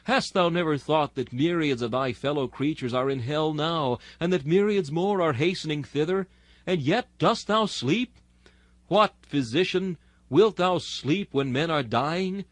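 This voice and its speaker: A man's voice: on the high side, a little slow, dry recording. A man reading an old-fashioned sermon aloud, solemn and exhortatory, with rhetorical delivery. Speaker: sermon reader. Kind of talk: dramatic sermon reading in archaic Victorian English.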